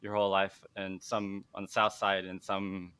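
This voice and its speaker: A man's voice: low-pitched, monotone, normal speed, bright, forceful tone, clean tone, no room sound. A man hosting a casual interview podcast, asking questions in an upbeat, friendly way with easy laughs. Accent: Chicago. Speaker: podcast host.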